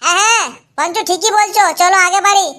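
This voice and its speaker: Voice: very high voice, steady tone, fast talker, sharp tone. A performer voicing an eager little cartoon pilgrim companion who agrees repeatedly in a comedy skit.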